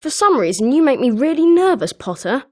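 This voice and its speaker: A woman's voice: high-pitched, sing-song, a little fast. A woman voicing a chatty, opinionated young student at a wizard school in scripted video game lines.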